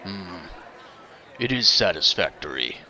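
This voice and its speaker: An actor playing a terse, gruff alien warrior hero in an audio drama, speaking formally and haltingly. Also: male voice, sits low, sing-song, pushed and bright, soft background music, a faint crowd, heavily acted. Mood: stiff, reserved.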